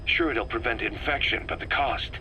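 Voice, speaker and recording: An actor playing a gruff, guarded military commander in a video game, with terse, clipped phrasing. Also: male voice, medium pitch, moderate pace, sharp tone. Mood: concerned, businesslike. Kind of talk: dramatic video game voice acting. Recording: faintly noisy taped field recording.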